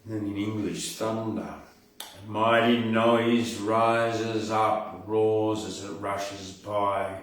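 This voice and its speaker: A man hosts a poetry reading with a slow, deliberate delivery and a medium-pitched voice. He sounds informal, like a live presenter. The room is heavily reverberant and he is a little way from the microphone. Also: flat delivery. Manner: unhurried, deliberate, dramatic when reciting verse. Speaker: poetry podcast host and reader. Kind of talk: casual live poetry reading and event announcements. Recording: very echoey room, distant from mic.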